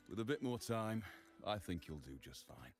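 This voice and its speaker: An actor playing a gruff, unhurried male gang agent, wary of strangers. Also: lowish voice, sing-song, a little mellow. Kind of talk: video game dialogue. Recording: game music underneath.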